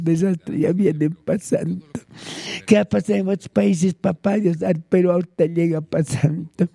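An older man with a Peruvian Spanish accent and a husky, gravelly voice, praying off the cuff in short, pleading, repeated phrases. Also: a little high, dark tone, no echo. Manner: pleading, repetitive short phrases with frequent invocations. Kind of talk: extemporaneous fervent prayer.

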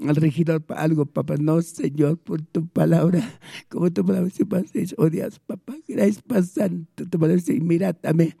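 Pleading Peruvian pastor, dark dry voice. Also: male voice, a little high, steady tone, moderate pace, vocal fry, husky, dry recording. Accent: Peruvian Spanish. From Peru. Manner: pleading, repetitive invocations. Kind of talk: fervent spoken prayer.

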